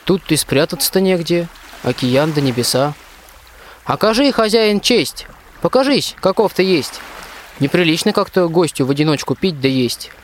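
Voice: medium pitch, expressive, normal speed, natural voice. A performer playing a plucky, friendly soldier hero in a rhymed radio play, declaiming heartily. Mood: inviting. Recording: faint watery ambient noise.

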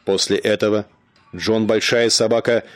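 A man narrating a radio play in a measured, dramatic storytelling manner. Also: lowish voice, steady tone, no room sound.

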